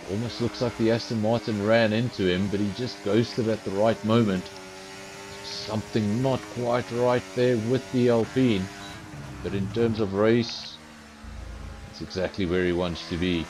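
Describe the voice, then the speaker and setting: A man's voice: fairly low pitch, fairly even intonation, a little slow, background noise. A man giving live commentary on an online racing league stream, chatty and self-deprecating, talking straight to his viewers. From South Africa. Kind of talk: live esports race commentary.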